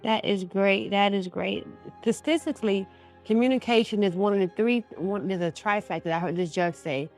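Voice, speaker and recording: A woman hosts a talk show in a folksy, conversational style. Her voice sits at an average pitch for a woman, with faint music underneath. She affirms what is said and speaks straight to her listeners. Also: moderate pace, darkish tone. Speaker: radio show host. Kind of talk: conversational interview.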